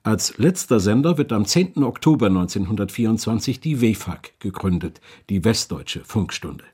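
A man narrates a radio documentary from a script. His voice is on the low side with a husky, unclear grain. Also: animated, normal speed, warm tone.

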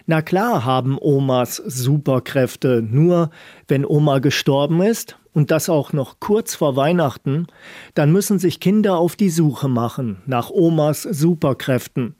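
A man's voice: fairly high pitch, unhurried, dark tone, no room sound, studio quality. A man presenting a radio programme in a scripted style.

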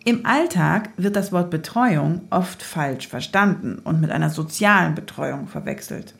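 An actress playing a clever, energetic girlfriend in her thirties in a radio drama, brisk and playfully officious. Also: lowish voice, gravelly. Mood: mock official. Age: 30s. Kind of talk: naturalistic German radio drama.

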